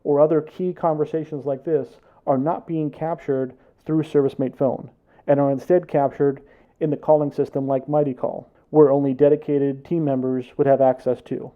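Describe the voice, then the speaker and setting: Explainer presenter: American male, slightly high voice, scripted business delivery. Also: flat delivery, moderate pace, phone-quality sound. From United States. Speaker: presenter for a tech consultancy.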